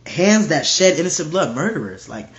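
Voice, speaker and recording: A man with a stuffy nose casually reading Bible verses aloud and explaining them in slangy, animated commentary. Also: a little high, moderate pace, muffled recording. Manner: animated, slangy, acts out voices.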